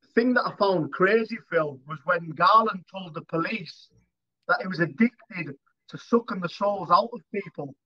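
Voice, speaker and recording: A man's voice: high-pitched, moderate pace. A British man in his thirties asking interview questions as a podcast host over a dull video call. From United Kingdom. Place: indoor room, video call.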